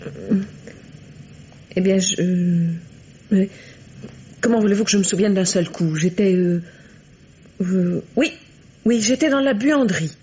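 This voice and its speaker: A woman's voice: medium pitch, measured pace, pauses often, warm tone, slight background noise, lightly acted. An actress playing an evasive, coquettish woman suspect in a 1950s-style French radio drama, speaking hesitantly and coyly. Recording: dull, lo-fi vintage radio recording.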